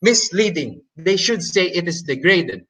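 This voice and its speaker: A man whose first language is Hokkien Chinese, giving a presentation in English with many fillers, restarts and pauses. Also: high voice, expressive, fairly bright tone. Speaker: debate presenter. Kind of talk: halting explanatory presentation.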